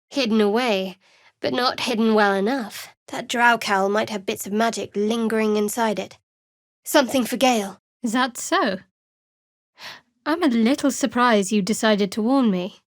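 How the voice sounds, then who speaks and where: An actress playing a guarded, sardonic young cleric of a dark goddess in a fantasy video game, with a dry, cool, wry delivery. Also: female voice, fairly high pitch, no room sound, lightly acted. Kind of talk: scripted fantasy game dialogue.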